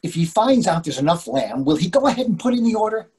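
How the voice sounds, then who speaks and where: A man plays an irritable, hungry older husband in readers theatre. He is argumentative and put-upon, with lively, swinging intonation. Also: sits high, quick pace. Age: 60s. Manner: exasperated, argumentative. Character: grumpy, hungry middle-aged husband out at dinner. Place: indoors on a video call.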